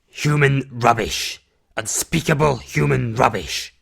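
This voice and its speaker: Male actor as protective recluse, creaky voice, mid pitch, measured formal phrasing, audio drama. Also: fairly bright tone, exaggerated voice. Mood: indignant. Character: principled, protective male recluse who shelters a runaway.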